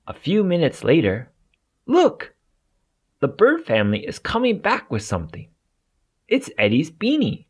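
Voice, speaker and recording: Animated man reading a children's story, unhurried. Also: a little high, husky. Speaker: storybook narrator. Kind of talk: read-aloud children's story narration.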